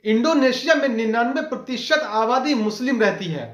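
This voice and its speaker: A man's voice: very high-pitched, moderate pace, roomy sound. A man presenting a scripted news explainer as a narrator, addressing viewers directly.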